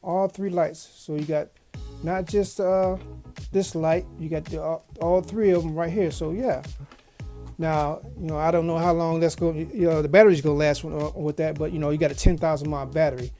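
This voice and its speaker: A woman's voice: sits low, fairly even intonation, fairly quick, dark tone, faint music behind. A woman giving a casual product review as a video host, in a folksy, slangy way with repeated phrases. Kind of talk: casual unboxing review chat. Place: small home office studio.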